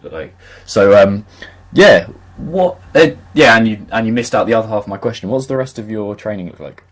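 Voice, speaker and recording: A man's voice: on the low side, lively intonation, normal speed. A man hosting a podcast in a casual interview, asking questions and adding short interjections. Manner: brief encouraging interjections. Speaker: podcast host.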